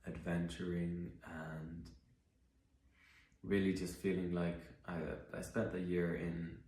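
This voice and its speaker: Songwriter, low voice, chatty and reflective between songs, heavy room echo. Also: male voice, level tone, takes it slowly, darkish tone. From Iceland. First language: Icelandic. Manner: reflective, rambling storytelling with many fillers. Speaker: musician and songwriter. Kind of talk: casual livestream chat between songs. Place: living room. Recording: very echoey room.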